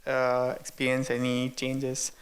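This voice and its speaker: A man in an audience asking a spontaneous question, haltingly searching for his words. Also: mid-range voice, monotone.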